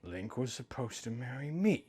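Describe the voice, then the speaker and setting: A man voicing a remorseful female water sage from a storybook, solemn and hushed. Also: medium pitch, big pitch swings, moderate pace, husky. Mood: regretful, resigned.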